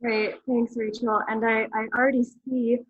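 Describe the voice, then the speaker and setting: A woman's voice: fairly high pitch, little pitch movement, moderate pace, breathy edge, no echo, lo-fi sound. A woman presenting a program on a video call, friendly and conversational. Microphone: video call mic.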